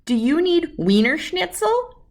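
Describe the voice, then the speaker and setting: A woman's voice: very high voice, very animated, unhurried, voice pushed up, exaggerated voice. A woman voicing an anxious, fussing mother from a children's storybook, worried and full of questions.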